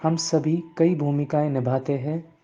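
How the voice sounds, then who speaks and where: Male meditation guide, very slow measured delivery with long pauses, relaxed mellow tone, music bed. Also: fairly high pitch. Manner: repeats some phrases in English. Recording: dull lo-fi sound, music bed. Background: background music.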